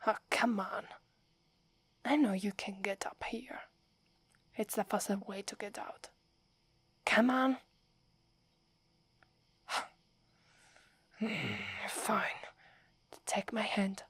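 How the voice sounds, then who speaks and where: A woman with an Italian accent voicing a proud young werewolf woman, whispering softly close to the mic and stammering when flustered. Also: medium pitch, fairly even intonation, slow, long pauses, breathy edge, exaggerated voice. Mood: impatient. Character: proud young werewolf woman, gruff but secretly fond. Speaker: ASMR roleplay performer. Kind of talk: whispered ASMR roleplay.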